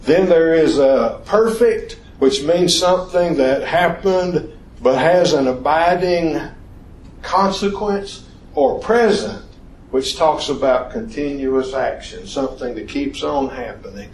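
A man teaching an informal Bible study lesson, talking slowly and haltingly with restarts and questions to the class. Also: on the high side, darkish tone, slight background noise. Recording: echoey room.

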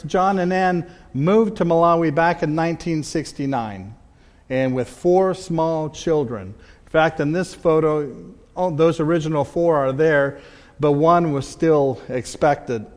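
A middle-aged man with a slightly raised voice speaks conversationally, stopping and restarting his phrases. He presents slides as a missionary to a church audience. His voice carries a long reverb from a large hall. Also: on the high side.

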